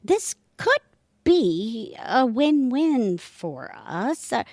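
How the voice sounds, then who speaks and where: A woman's voice: on the high side, sing-song, drawn-out words, darkish tone, dry recording, studio quality, heavily acted. A woman playing a sarcastic, self-deprecating middle-aged mother in an audio theatre comedy-drama. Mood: probing.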